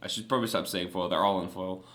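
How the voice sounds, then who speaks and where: Chatty young man with a low voice. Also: fairly even intonation, quick pace, a bit bright. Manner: chatty and enthusiastic, many fillers. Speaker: vlogger showing trading cards. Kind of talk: casual vlog chat.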